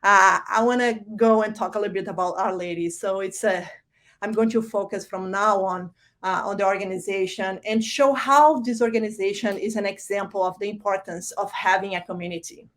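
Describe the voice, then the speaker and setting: A woman's voice: mid-range voice, fairly quick, a bit bright. Brazilian woman giving a conference keynote. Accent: Brazilian Portuguese. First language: Portuguese. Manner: conversational, many fillers and restarts. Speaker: keynote speaker.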